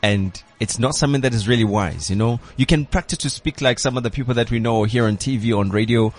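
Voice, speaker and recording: A man's voice: medium pitch, fast. A man hosting a radio show, chatting casually with guests and saying "you know" a lot. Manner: relaxed, repeats "you know" and "my brother". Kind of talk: casual interview chat.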